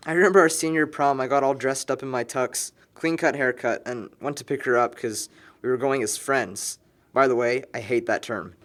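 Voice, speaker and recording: A man's voice: medium pitch, natural voice. Slangy, talkative young man played by an actor. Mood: wistful. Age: young adult. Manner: slangy, rambling, emphatic storytelling. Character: wry, talkative young man confiding in a friend. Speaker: actor in a scripted film. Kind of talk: naturalistic buddy dialogue.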